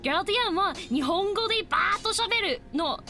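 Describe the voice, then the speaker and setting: A woman's voice: extremely high pitch, expressive, rapid-fire, gravelly, pushed and bright. A woman speaking casually, with some music behind her.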